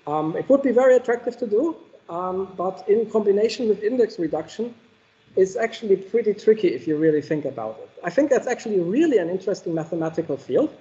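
A man with a fairly high, mellow voice answers technical questions after a keynote. He talks in a thoughtful way and hesitates often with fillers. The sound is a little dull and lo-fi. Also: expressive, quick pace.

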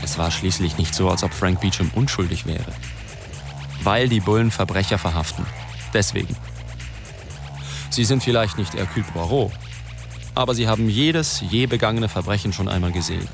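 An actor playing a wry, self-mocking reporter who narrates his own story in an ironic, deliberate way in a radio drama. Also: sits low, gravelly, over music.

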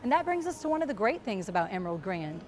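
A woman's voice: medium pitch, very animated, fast talker, music in the background. A young adult woman working as a TV reporter and host, reading polished scripted broadcast narration in a projected, presentational way.

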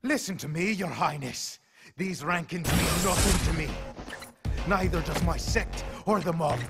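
An actor playing a duel-hungry warrior assassin in a video game, theatrical, intense and grandly reverent. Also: male voice, a little high, unhurried, gravelly, loud music behind the voice. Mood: exultant. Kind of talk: dramatic video game acting.

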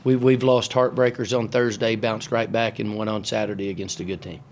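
A middle-aged man, a basketball coach, answering questions at a postgame press conference in a halting, matter-of-fact way, with many fillers and restarts, into a table microphone. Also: fairly low pitch, little pitch movement, darkish tone, a little hiss or hum. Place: press room in front of a sponsor backdrop. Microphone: table mic on a stand.

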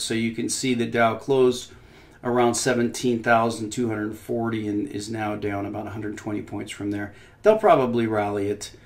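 A man hosting casual market commentary over a shared screen. He talks in a loose, conversational way, pausing often with fillers and dropping skeptical, opinionated asides. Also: on the low side. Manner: conversational, frequent pauses and "uh" fillers. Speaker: financial commentary host.

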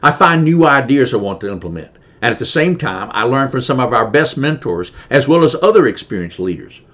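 An older man with a mid-pitched voice reads promotional lines in an earnest, plain way. The video-call sound is a little dull and lo-fi, recorded in an indoor office. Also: very animated, brisk, fairly bright tone. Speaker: past club president. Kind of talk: scripted promotional dialogue. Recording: slightly dull lo-fi audio.

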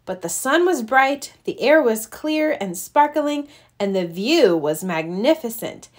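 A woman reading a children's storybook aloud as narrator, expressive and deliberate. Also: a little high.

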